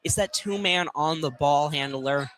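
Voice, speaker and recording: A man's voice: fairly high pitch, a little slow, a bit bright, slight background noise. A man giving casual live color commentary on a basketball game from inside a field house.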